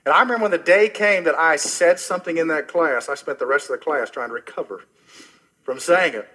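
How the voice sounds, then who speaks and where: A middle-aged man preaching a sermon as pastor, earnest and personal, often dropping soft and low at the ends of phrases. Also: brisk, breathy edge. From Oklahoma, US.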